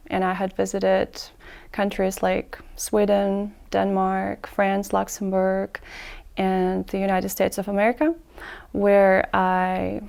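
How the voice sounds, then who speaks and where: A woman, an English tutor answering interview questions in a measured way that lays out her points in order. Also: medium pitch, gravelly, darkish tone, clear, resonant tone. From Ukraine.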